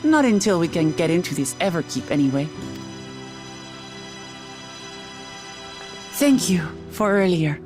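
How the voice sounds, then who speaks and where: A woman's voice: on the low side, very animated, fairly quick, music in the background. A woman playing an earnest, determined young warrior in a video game, plainspoken and direct. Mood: sincere. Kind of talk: scripted video game cutscene acting.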